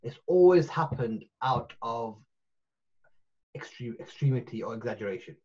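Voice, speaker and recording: A man in his forties talks over a video call from a small room at home, rambling and restarting as he gathers his thoughts. His voice is husky, and the call audio comes through dull and muffled. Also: medium pitch, lively intonation, moderate pace, mellow. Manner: rambling, full of restarts and fillers. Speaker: podcast guest. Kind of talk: casual podcast chat.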